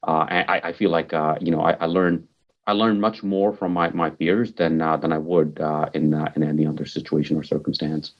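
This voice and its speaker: A man's voice: rumbling low, level tone, a little fast, lo-fi sound. A man, answering interview questions in an upbeat, earnest way and repeating words for emphasis. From Mumbai, India. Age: 40s. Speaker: podcast guest, technology executive.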